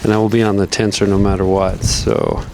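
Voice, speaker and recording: A man casually talking through his camping gear outdoors in strong wind, relaxed and rambling, chuckling now and then, recorded on a camera mic. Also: lowish voice, a little fast. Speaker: outdoor vlogger. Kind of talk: casual gear-testing vlog. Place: outdoors in a windy backyard.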